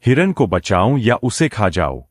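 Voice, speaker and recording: A man's voice: on the low side, sing-song, measured pace, no room sound, studio quality. A man voicing a sly, scheming wolf in a cartoon moral story, oily and mock-friendly in a theatrical way. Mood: bitter muttering. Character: sly scheming male wolf, falsely friendly, muttering plots.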